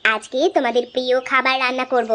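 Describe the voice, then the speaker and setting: A woman voicing a stern, harried village mother in a children's cartoon, brisk and scolding. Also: high-pitched, little pitch movement, background noise, natural voice. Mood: firm. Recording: music behind the voice.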